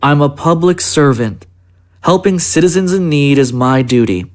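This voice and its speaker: A man playing a polite, secretive presidential chief of staff in an audio drama, with formal, measured speech. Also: fairly high pitch, lively intonation, natural voice. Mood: courteous, assured.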